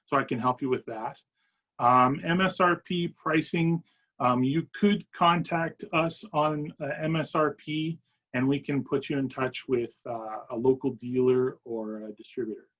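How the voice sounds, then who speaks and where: A man giving an informal, somewhat halting product presentation and answering questions as a company presenter in a webinar. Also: medium pitch, fairly even intonation, fairly bright tone. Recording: dull, lo-fi webinar audio.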